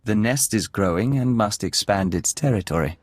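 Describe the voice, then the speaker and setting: Low-voiced, detached hive-mind emissary. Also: male voice, expressive, normal speed, no echo. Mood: insistent. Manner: formal, detached, speaks of self as "we". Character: calm male emissary of an insect hive mind. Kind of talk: video game voice acting.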